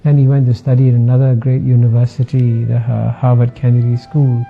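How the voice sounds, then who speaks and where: An older Singaporean man in his seventies speaks like a lecturer, calm and measured, pausing often with a soft "uh" and chuckling now and then. His voice sits at an ordinary middle pitch. The audio is dull and lo-fi, with faint music underneath. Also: gravelly. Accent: Singaporean English. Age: 70s. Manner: measured, with frequent "uh" pauses and soft laughs. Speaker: former dean of a public policy school. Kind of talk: reflective talk with a lecture tone.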